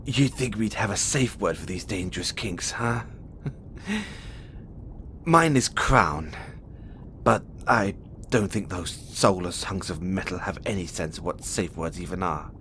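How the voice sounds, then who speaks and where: Casting: male actor as playful, flirtatious imprisoned experiment with imperial swagger, for audio roleplay. Swooping pitch, unhurried halting delivery, rebellious streak. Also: lively intonation, fairly bright tone, a little hiss or hum, exaggerated voice. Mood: strained, joking. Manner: teasing, flirtatious, halting, with sighs and chuckles. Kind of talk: audio roleplay acting addressed to the listener.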